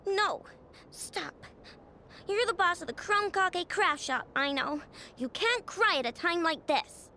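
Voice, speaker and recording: Very high-voiced, feisty little girl. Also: expressive, slow, sharp tone, a little hiss or hum, exaggerated voice. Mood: self-steadying. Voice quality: childlike, girlish. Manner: defiant, emphatic. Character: feisty young inventor girl, stubborn and fiercely protective. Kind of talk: video game voice acting.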